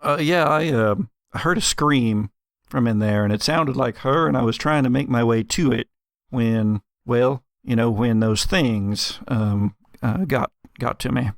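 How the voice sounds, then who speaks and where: A man plays an anxious townsman survivor in a tabletop game. The voice is creaky and recorded dry. He is apologetic and shaken, stumbling hesitantly over his words. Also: medium pitch, unhurried, no echo. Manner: hesitant, stammering.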